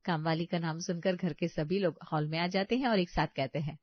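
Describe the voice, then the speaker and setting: A woman's voice: lowish voice, steady tone, fairly quick, lo-fi sound. A woman reading scripted narration as a storyteller.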